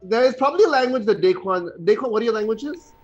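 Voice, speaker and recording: A man playing a tabletop game, chatty and quick to correct himself. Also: extremely high pitch, expressive, highs cut off, faint music behind. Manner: chatty, self-correcting, laughs. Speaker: player. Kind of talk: casual tabletop play.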